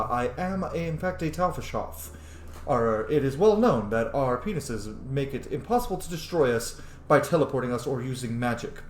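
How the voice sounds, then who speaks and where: A man giving casual, sweary gameplay commentary, thinking aloud and roleplaying as he reacts to the game. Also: medium pitch. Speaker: gamer, streamer. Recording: roomy, faintly noisy home recording.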